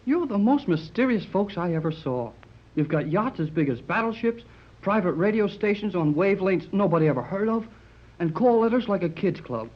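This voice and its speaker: An actor playing an eager, curious boy radio operator with excitable exclamations in a 1930s radio serial. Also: sits high, moderate pace. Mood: curious, grumbling. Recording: dull, muffled 1930s radio recording.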